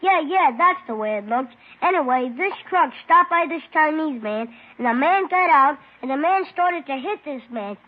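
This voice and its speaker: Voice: high-pitched, expressive, moderate pace, few pauses. A performer playing an earnest six-year-old boy witness in a 1950s radio drama, talking in an artless, childlike way. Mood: excited, earnest. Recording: tinny old-time radio recording.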